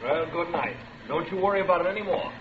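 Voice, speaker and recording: A man acting as a courteous businessman in a vintage radio play. His voice is on the high side, mild and polite. It comes through thin and phone-like under clear noise. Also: fast talker, natural voice. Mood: affable. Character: courteous, mild businessman boss closing up for the night. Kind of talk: 1940s-50s American radio acting.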